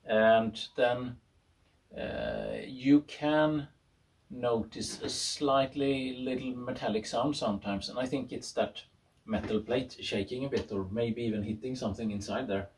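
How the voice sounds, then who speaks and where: A man's voice: mid-range voice, steady tone, a little slow, creaky, a little hiss or hum. A man presenting his own garage experiment in informal talk, thinking aloud hesitantly with a self-deprecating, amused touch. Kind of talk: informal explanatory video talk. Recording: voice far from the mic.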